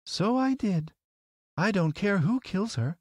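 A man's voice: high-pitched, expressive, slow pace, no echo, clean studio sound, pitched above the performer's own voice, exaggerated voice. A man voicing a lovely winged lady wizard in a storybook, sweet yet haughty and regal. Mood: haughty, dismissive. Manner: regal, lofty. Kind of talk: storybook character voice.

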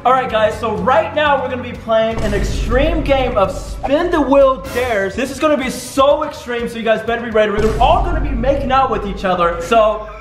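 A young man hosting a casual vlog, excitable and quick to laugh and yelp. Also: very high-pitched. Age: young adult. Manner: excitable, yelps and laughs often. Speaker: host. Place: large home kitchen indoors. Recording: echoey room with background music.

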